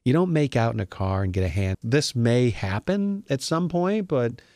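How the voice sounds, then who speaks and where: A man hosting a casual talk show, rambling and musing with animated asides. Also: mid-range voice, moderate pace, dry recording, clean studio sound. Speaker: podcast host. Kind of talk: casual conversational chat.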